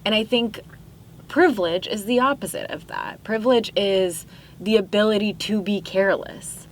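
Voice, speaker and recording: A woman's voice: medium pitch, moderate pace, a little hiss or hum. A young woman born in Pakistan, a guest on a podcast, talking casually and reflectively with many fillers and frequent laughs. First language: Urdu. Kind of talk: casual interview chat.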